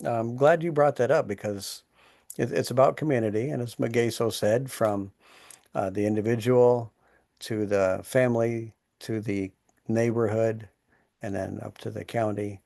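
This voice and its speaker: A man speaking at an online town hall, explaining disaster resiliency in a relaxed, plainspoken way. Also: fairly low pitch, normal speed, gravelly, studio quality. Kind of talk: casual conversational explaining.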